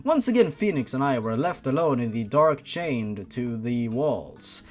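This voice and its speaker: A man's voice: mid-range voice, big pitch swings, a little slow, faint music behind. A man hosting a fan fiction reading, narrating expressively and adding sardonic, incredulous asides.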